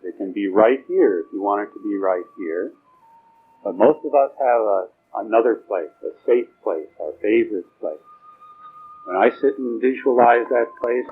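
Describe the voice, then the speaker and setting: A man leading a guided meditation, giving slow spoken instructions in a soothing, permissive and informal way, with pauses. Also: on the low side, slight background noise. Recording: dull, muffled lo-fi recording with music.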